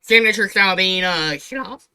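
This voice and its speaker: A woman's voice: mid-range voice, moderate pace, bright, forceful tone, smooth. A woman streamer giving casual reaction commentary, giggly and sarcastic, with blunt swearing.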